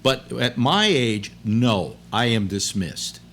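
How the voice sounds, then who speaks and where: Rambling older man, lively pitch. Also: a little hiss or hum. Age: 70s. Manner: rambling, halting with many restarts. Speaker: host. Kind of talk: casual chat.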